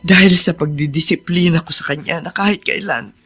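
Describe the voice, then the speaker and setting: A woman's voice: fairly low pitch, brisk, a bit bright, faint music behind, lightly acted. An actress playing a troubled, strict middle-aged mother in a Filipino radio drama, earnest and weighty in delivery.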